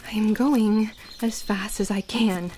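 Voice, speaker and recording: A woman's voice: medium pitch, fairly even intonation, slow pace, noisy background, natural voice. An actress playing an earnest, bookish young witch in a period audio drama, speaking in formal old-fashioned phrases. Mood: impatient, stern.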